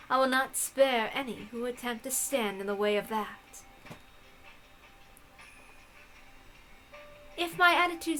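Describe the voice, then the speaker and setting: A woman voicing an ancient, frail, haughty witch from a visual novel, speaking quietly in measured, formal, imperious phrases. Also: sits high, poor audio. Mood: lofty. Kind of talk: visual novel read-aloud.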